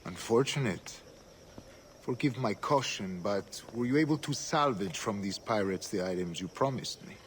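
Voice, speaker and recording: An actor playing a solemn, courteous older governor with a Spanish accent and a formal, measured manner, in a video game. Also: male voice, lowish voice, expressive, a little hiss or hum, lightly acted. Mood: courteous, cautious. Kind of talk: dramatic video game acting. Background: clear background music.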